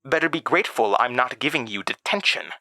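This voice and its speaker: A man's voice: medium pitch, lively intonation, brisk, bright, forceful tone, dry recording, studio quality. A man voicing a stern, sour older female science teacher who scolds in a snappish manner. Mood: stern, irritated.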